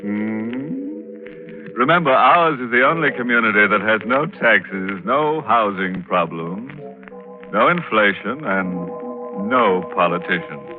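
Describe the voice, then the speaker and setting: An actor playing a ghoulish, mock-friendly horror host in 1940s radio style, making macabre wisecracks with drawn-out words. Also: male voice, fairly low pitch, animated. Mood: sly mock-friendly. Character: ghoulish, jovially sinister male horror show host. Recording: old muffled radio recording with music.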